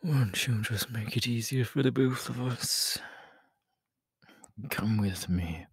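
A man playing a sly, teasing, menacing villain in an ASMR audio roleplay, breathy and often slipping into whispers, close on the microphone. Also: medium pitch, no room sound, full character voice. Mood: flirtatious. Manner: slow, drawn-out, teasing. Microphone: close microphone, dry.

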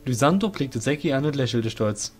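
A man's voice: medium pitch, expressive, normal speed, faint music behind. A man narrating a fantasy audio drama in a scripted storytelling style.

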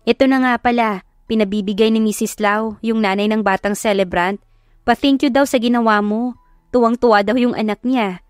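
A woman with a Filipino accent voicing a brisk, chatty female events boss in an audio drama. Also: a little high, clear, resonant tone, no echo, studio quality, close to an everyday voice. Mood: pleased. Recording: background music under the voice.

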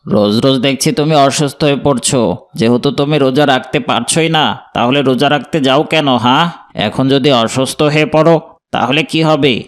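A man's voice: medium pitch, normal speed, close to an everyday voice. A man voicing a stern schoolteacher in a cartoon, talking in a firm, instructing way.

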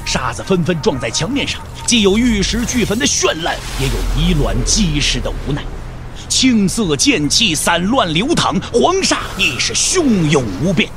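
A man narrating a martial-arts audio drama in a dramatic, emphatic storytelling style. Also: high voice.

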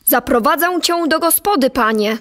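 High-voiced polite stable lad played by a woman. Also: animated, normal speed, fairly bright tone. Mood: helpful, curious. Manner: courteous, deferential. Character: polite, bright young stable boy.

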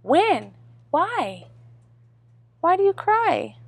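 A woman's voice: extremely high pitch, big pitch swings, drawn-out words, gravelly, no echo, voice pushed up, heavily acted. A woman voicing a proud, curious little boy rabbit of nearly five in a storybook reading, emphatic and questioning. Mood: puzzled, curious.

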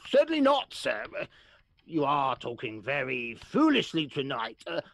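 A man's voice: mid-range voice, huge pitch swings, normal speed, gravelly, a bit bright, no echo, full character voice. An actor playing a pompous, muddled old father who stammers and hesitates in a radio play. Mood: flustered. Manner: hesitant, stammering, full of uhs.

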